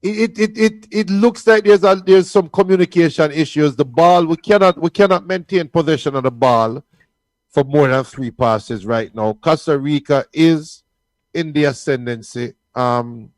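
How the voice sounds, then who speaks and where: A man's voice: on the high side, moderate pace, no room sound. A man giving casual match analysis as co-commentator, often repeating the start of his phrases. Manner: repeats phrase openings as he makes points.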